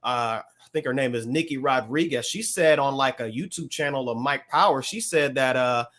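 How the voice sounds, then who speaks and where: A man on a podcast panel casually recapping a story in a halting, careful way. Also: mid-range voice, a bit bright.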